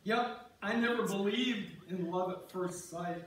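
A man playing a warm, rambling husband who reminisces in an amateur reader's theater performance, recorded far off in a church. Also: sits high, measured pace. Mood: fond, amused. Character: warm rambling husband Wally, reminiscing about meeting his wife. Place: on stage in a church, far camera. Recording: echoey church hall, distant mic, noisy.

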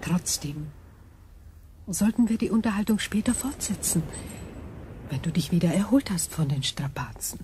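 Woman voicing a mellow, measured ancient alien being. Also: on the low side, lively intonation, a little hiss or hum, lightly acted. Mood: gentle. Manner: measured, reflective, formal phrasing. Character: ancient, growing alien crystal being, female, calm, thoughtful, curious. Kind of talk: radio drama acting.

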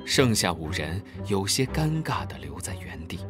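Lively storyteller over music. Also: low-pitched, normal speed. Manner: expressive storytelling. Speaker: narrator. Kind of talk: children's audio drama narration.

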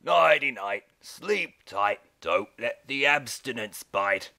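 Woman playing a very deep-voiced brazen anime mom. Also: lively intonation, slow pace, sharp tone, a little hiss or hum, exaggerated voice. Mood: cheerfully shameless. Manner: theatrical, blunt. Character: brazen, irresponsible middle-aged mother, cheerfully shameless. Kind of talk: parody anime fan dub acting.

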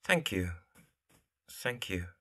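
A man's voice: low voice, big pitch swings, slow pace, pushed and bright, slight background noise, heavily acted. A man playing a polite, wounded young architect in love in a period audio drama, hesitant and subdued. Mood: hurt.

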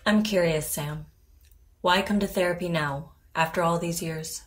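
An actress playing a composed, reassuring therapist, speaking formally and with gentle, measured questioning in an audio drama. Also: female voice, fairly low pitch, natural voice. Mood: calm, probing.

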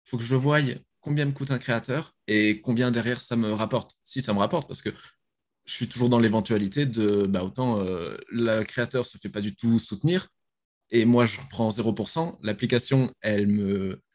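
A man's voice: on the low side, normal speed. A young man from France, a guest on a video-call podcast, talking casually about his app with slang and restarts, recorded over a headset in a small bedroom. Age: young adult. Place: small bedroom with gaming chair.

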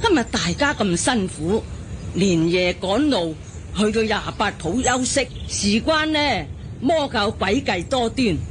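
Loud outdoor noise surrounds a woman playing a stern older nun in a wuxia radio play. She scolds and commands slowly in a Hong Kong accent, her pitch fairly high and full of swings. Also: expressive, lightly acted. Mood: commanding. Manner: scolding, authoritative, deliberate. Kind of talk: Cantonese wuxia radio drama acting.

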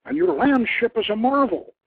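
A man's voice: very high voice, expressive, normal speed, gravelly, breathy edge, no echo, pitched above the performer's own voice, exaggerated voice. A man voicing a dignified, reverent king and father in a storybook reading, speaking formally. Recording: muffled, thin phone-like radio line.

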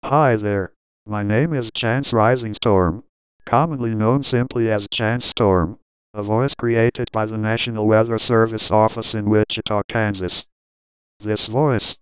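A man's voice: lowish voice, smooth, no echo, lightly acted. A synthetic male voice playing a pleasant, robotic weather radio announcer. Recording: dull lo-fi synthesizer audio.

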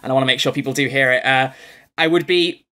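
A man's voice: medium pitch, steady tone, fast, bright, forceful tone. A young man, chatting casually and effusively as a podcast interviewer, rambling a little. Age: young adult. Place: small bedroom with posters, on a video call.

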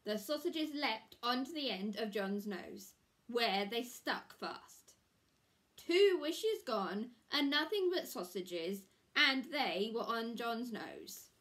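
A woman in her thirties reads a picture book to young children in a teacherly, expressive style. Her voice is fairly high and very unhurried. She is recorded in a small room at home. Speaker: primary school teacher reading a story.

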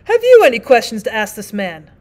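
A woman's voice: a little high, very animated, a little fast, darkish tone. A woman voicing a stern, impatient male frontier judge who questions curtly.

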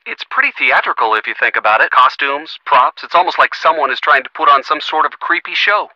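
An actor playing an earnest, gently sardonic young American boyfriend in a video game, talking conversationally and expressively with a youthful voice. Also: male voice, a little high, lively intonation, fairly quick, dry recording, dull audio. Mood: curious, thoughtful. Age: young adult. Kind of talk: video game phone-call dialogue.

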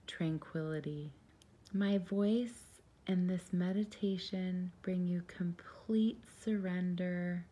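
Slow, mellow woman guiding meditation. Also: fairly low pitch. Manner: soothing, gentle, unhurried. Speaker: meditation guide. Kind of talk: guided meditation narration.

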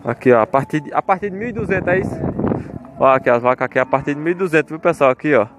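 A man's voice: a little high, expressive, racing, background noise. A man walks through outdoor cattle pens, filming on his phone and chatting to his viewers, repeating his call-outs to them. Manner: repetitive, chatty, keeps calling out to his audience. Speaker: vlogger touring a cattle fair. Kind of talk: casual walkaround commentary to viewers. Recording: outdoor market with crowd chatter.

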